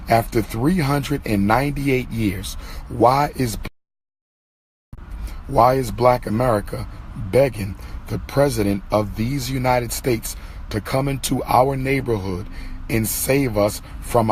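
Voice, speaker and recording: A hoarse man giving an impassioned monologue to his followers, stressing and repeating his key phrases. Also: fairly low pitch, slight background noise. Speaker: lecturer.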